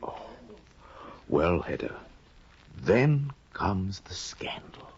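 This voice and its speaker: An actor playing a sly, insinuating middle-aged judge in a 1950 radio drama, probing and quietly menacing. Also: male voice, low voice, very animated, very slow, whispered, full character voice. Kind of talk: 1950s radio drama acting. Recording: dull lo-fi 1950 radio broadcast.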